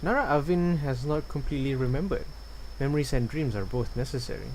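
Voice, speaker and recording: A man's voice: mid-range voice, fairly quick, very noisy. A man doing casual live gameplay commentary, musing and a little hesitant as he goes. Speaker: game streamer. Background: game music.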